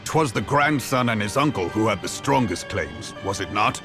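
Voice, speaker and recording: A wary, serious man of rank speaks in a fantasy game cutscene. His voice sits fairly low and his phrasing is formal and archaic, with faint music underneath. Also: animated, moderate pace. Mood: grave, wary. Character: grave, wary male leader in a war council.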